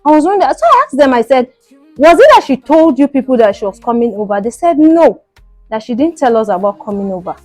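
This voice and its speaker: A woman's voice: high voice, very animated, quick pace, a little mellow, no echo. Nigerian woman storyteller over background music. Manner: conversational storytelling with rhetorical questions and reported speech. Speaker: storytelling show host. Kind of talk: narrated personal story.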